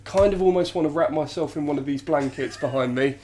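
A man's voice: on the high side, fairly quick, darkish tone. A man hosting a casual podcast while stuffy with a cold, chatty and self-deprecating with lots of ums and restarts. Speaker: podcast host. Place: home studio.

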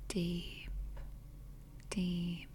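A woman whispering a soothing, repetitive guided sleep hypnosis in ASMR style, breathy and unvoiced, with long pauses. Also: lowish voice, completely flat delivery, dark tone, a little hiss or hum. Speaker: hypnotist guiding sleep session. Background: music.

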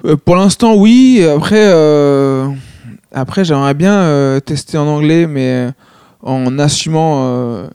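A man's voice: fairly high pitch, slow pace, mellow, clear, resonant tone, no room sound, clean studio sound. A man, a stand-up comedian, talking casually and hesitantly, with many restarts and fillers. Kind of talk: casual conversation.

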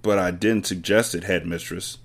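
A man reading aloud and voicing a gentle, timid young schoolteacher who pleads softly. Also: sits low, fairly even intonation, normal speed. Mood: soft pleading. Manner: soft, pleading.

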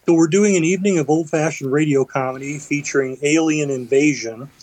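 A man's voice: a little high, brisk, darkish tone, poor audio. A man, vice president of a community theater group, chatting as a guest on a radio arts show and explaining with fillers and restarts. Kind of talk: radio interview.

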